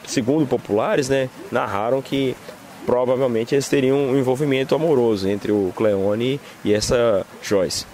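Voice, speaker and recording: A Brazilian police lieutenant with a Brazilian Portuguese accent, reporting a crime in halting official jargon, outdoors on a street. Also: male voice, medium pitch, a little mellow, a little hiss or hum. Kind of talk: field report interview.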